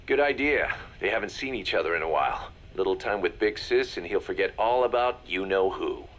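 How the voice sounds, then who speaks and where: A man's voice: deep voice, lively intonation, brisk, fairly bright tone, slight background noise. A man playing a shrewd, hard-nosed mercenary second-in-command, assured and businesslike, in a video game audio drama. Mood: cool, pragmatic.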